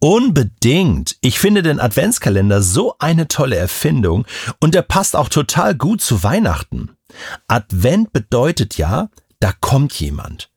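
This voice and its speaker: Husky, very animated man. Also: mid-range voice, unhurried, dry recording, studio quality. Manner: emphatic, reassuring, repeats key words. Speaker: expert guest explaining to a child. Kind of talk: children's audio interview, storytelling explanation.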